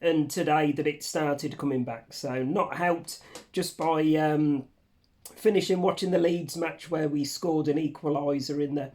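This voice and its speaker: Man, hoarse, croaky from a cold, slightly high voice, rambling casual vlog chat, home room. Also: fairly even intonation, measured pace, darkish tone. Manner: chatty, rambling, casually swearing. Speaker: channel host. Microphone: facing camera under a ring light.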